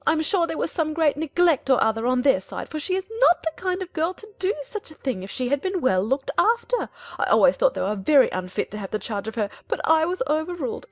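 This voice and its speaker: A woman's voice: sits high, no room sound. A woman playing a middle-aged, self-pitying, hysterical mother in a dramatized period novel, wailing and complaining in agitation. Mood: rising panic. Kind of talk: dramatized audiobook of a Regency novel.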